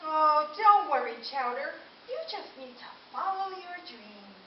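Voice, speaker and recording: A woman acting a doting, gushing female storyteller and dog-camp mistress in an animated children's puppet show. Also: high-pitched, unhurried. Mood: gushing, encouraging. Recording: echoey room, distant mic, dull, slight hiss.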